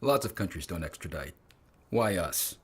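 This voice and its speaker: An actor playing a shrewd, polished intelligence officer in an audio drama, measured and dryly courteous. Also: male voice, deep voice, lively intonation, slightly rough, exaggerated voice. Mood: measured, probing.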